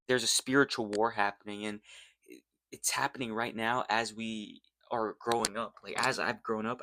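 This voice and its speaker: A man's voice: mid-range voice, pushed and bright, no room sound. A young American man giving casual vlog commentary in an earnest, rambling, slangy way. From United States. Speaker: YouTube host.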